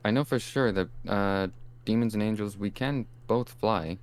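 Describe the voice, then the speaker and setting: A man playing a mild, hesitant angel, a calm peacemaker in improvised comedy, speaking haltingly as he searches for words. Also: fairly low pitch, natural voice. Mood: thoughtful. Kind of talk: improvised comic role-play.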